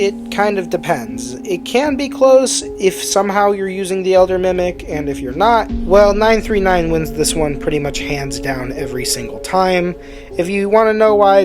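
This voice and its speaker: A video essay host giving scripted commentary, explaining personal opinions in a measured, qualifying way. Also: medium pitch.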